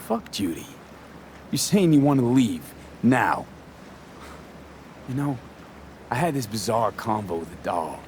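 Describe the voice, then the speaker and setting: Streetwise male mercenary, low voice, brooding but sincere, casual clipped delivery, video game drama, noisy background. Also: big pitch swings, unhurried, pauses often, a little mellow. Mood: hurt, heated. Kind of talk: naturalistic video game voice acting.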